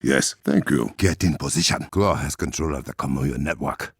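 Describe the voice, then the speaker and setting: An actor playing a regal, commanding warrior king in a video game, with an African accent, measured formal diction and a gravelly, husky voice. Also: very deep voice, no room sound, clean studio sound, heavily acted. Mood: focused, grave. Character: regal, commanding warrior king, stern and proud, adult man.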